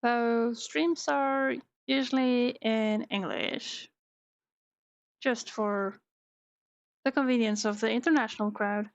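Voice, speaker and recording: A Norwegian woman, a native speaker of that language, chats with her viewers while she draws on a live stream. Her voice sits a little high with a gravelly edge, and she speaks slowly, trailing off with fillers as her focus shifts to the sketch. The sound is dry and slightly dull, like a home stream setup. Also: no echo. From Norway. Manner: relaxed, reads chat aloud, trails off with fillers while concentrating. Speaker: art streamer. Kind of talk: casual live-stream chat while drawing.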